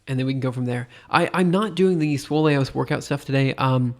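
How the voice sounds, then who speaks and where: A man's voice: mid-range voice, brisk. A tired man with a stuffy nose thinks aloud while live coding on a stream, rambling hesitantly and poking fun at himself. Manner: rambling, hesitant, self-deprecating.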